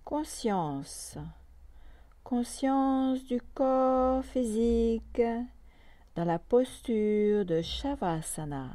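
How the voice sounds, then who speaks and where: A woman guiding a yoga nidra meditation calmly and softly, in short phrases with long pauses between them. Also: fairly high pitch, dry recording. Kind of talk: slow guided meditation.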